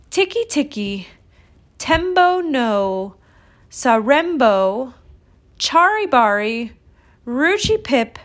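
Little boy for a storybook, played by a woman: very high, urgent, breathless, loud pleading. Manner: shouting, gasping, pleading. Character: small breathless frantic young boy.

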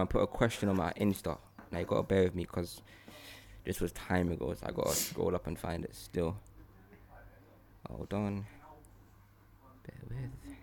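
A young man talks in casual podcast style. He is opinionated and animated, often repeating phrases to drive a point home. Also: deep voice, creaky, a little hiss or hum. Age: young adult. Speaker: podcast host.

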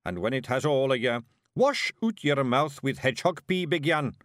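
A man's voice: medium pitch, animated, moderate pace, fairly bright tone, no room sound, clean studio sound, voice pushed up, close to an everyday voice. A man voicing a small, gloomy male fairy clansman with a broad Scottish accent and a doom-laden manner. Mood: worried.

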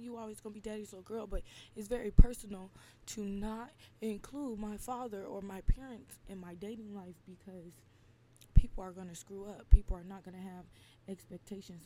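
A woman in her twenties, slightly raspy, venting bluntly and emphatically in a casual solo talk. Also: mid-range voice, dark tone, slight background noise. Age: 20s. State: slightly raspy from lack of sleep. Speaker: podcast host.

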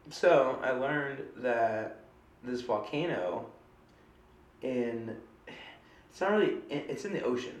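A woman's voice: rumbling low, lively intonation, slow pace, long pauses, echoey room. A woman co-hosting a casual chat show, talking in a chatty, rambling and playful way, with a stuffy nose from a cold. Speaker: podcast co-host.

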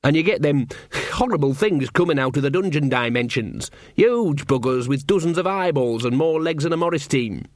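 A man's voice: fairly high pitch, expressive, moderate pace, no room sound, clean studio sound, close to an everyday voice. A man voicing a simple, earnest grown blacksmith, plain-spoken, in an audiobook. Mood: confident, reassuring. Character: simple, sturdy grown blacksmith son, confident. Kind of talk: audiobook character acting.

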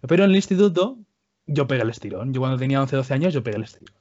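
A man's voice: medium pitch, animated, racing, darkish tone. A man from Spain with a Spanish accent, co-hosting a casual podcast chat, talking hesitantly with many restarts and repeated words. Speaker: podcast co-host. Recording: muffled lo-fi audio.

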